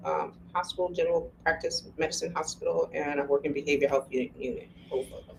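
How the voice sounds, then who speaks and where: A woman from Philadelphia answering interview questions, reflective and hesitant, often pausing with ums. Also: low voice, fairly even intonation, creaky, a little hiss or hum, low-quality recording. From Philadelphia, USA. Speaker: interview guest.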